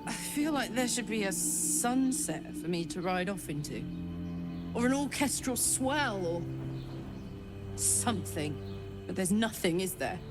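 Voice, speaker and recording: A woman's voice: mid-range voice, animated, measured pace, over loud music, lightly acted. A woman playing a fierce, betrayed warrior woman in a video game, blunt, sardonic and emotionally raw. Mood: bleak, despairing. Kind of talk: dramatic video game acting.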